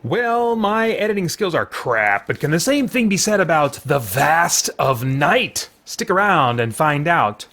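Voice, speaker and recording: A man gives a casual, off-the-cuff review as host. His voice is a little high for a man. He talks haltingly, rambling and correcting himself as he works out his thoughts. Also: very animated, fairly bright tone. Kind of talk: unscripted casual review monologue.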